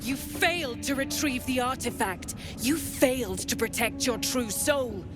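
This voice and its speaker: A woman's voice: sits high, lively intonation, measured pace, over music. A woman playing a stern, merciless cult commander in a fantasy game, formal and commanding.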